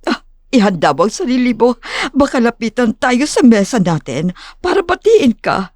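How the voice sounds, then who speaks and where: A woman's voice: on the high side, very animated, normal speed, darkish tone, no echo, studio quality. A woman playing a smug, eager social-climbing mother talking to her daughter in an audio drama.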